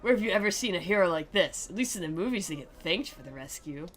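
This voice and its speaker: A conceited, hot-headed teenage schoolboy from a visual novel, read out by a woman. He is gloomy and melodramatic, with a scoffing sarcasm and a bright, forceful tone. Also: on the low side, expressive, quick pace. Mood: bitter, scoffing.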